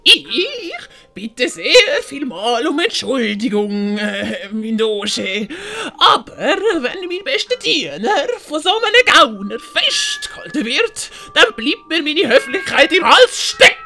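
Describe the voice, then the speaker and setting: A woman voicing a gruff, indignant, blustering character in an audiobook, exclaiming forcefully. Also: high voice, very animated, takes it slowly, soft background music, heavily acted.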